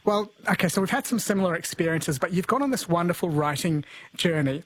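A man's voice: high voice, expressive, quick pace, a bit bright, low-quality recording. A man who grew up in New Zealand, hosting a late-night radio chat in a friendly, joking way. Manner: friendly, joking, stumbles over names. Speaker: radio host.

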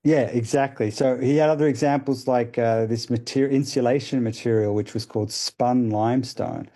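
A man explaining ideas as a radio guest, thoughtful and hesitant, with many pauses and fillers. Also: mid-range voice, steady tone, mellow. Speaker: guest expert.

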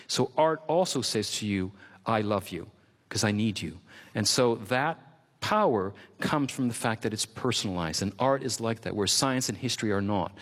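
A man's voice: fairly low pitch, animated, moderate pace, a bit bright, in a large hall. A man from Quebec, a native French speaker, speaking as an author in an interview, thoughtful and expansive, repeating words for emphasis. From Quebec, Canada. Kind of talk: interview conversation.

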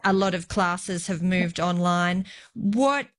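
A woman's voice: on the low side, slow. A woman interviewing a guest on radio, asking her question in a conversational, direct way.

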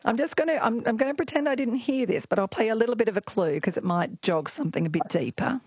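A croaky, husky Australian woman in her forties hosting an evening radio quiz with callers, bubbly and teasing. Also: a little high, expressive, fast. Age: 40s. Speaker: radio host. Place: radio studio.

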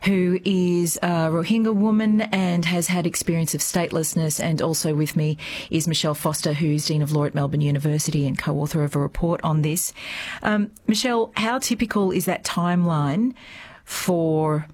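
A woman hosting a radio interview, asking gentle probing questions and often rephrasing them. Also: low voice, steady tone, moderate pace. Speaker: radio host.

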